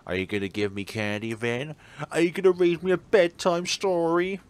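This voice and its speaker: A man's voice: fairly high pitch, normal speed, smooth, pitched above the performer's own voice, close to an everyday voice. A man voicing a playful, teasing teenage schoolboy from a visual novel, breezy and sing-song.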